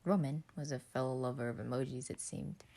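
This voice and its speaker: A woman's voice: very deep voice, fairly even intonation, brisk, gravelly, warm tone. A woman reading a scripted story aloud as narrator. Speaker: podfic reader.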